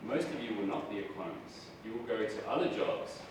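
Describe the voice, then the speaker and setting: A man's voice: lowish voice, animated, a little fast. Australian man lecturing in an echoey hall. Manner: chatty, self-deprecating, asks the audience questions. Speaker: guest lecturer. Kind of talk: university lecture. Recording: echoey hall, voice from far off, noisy.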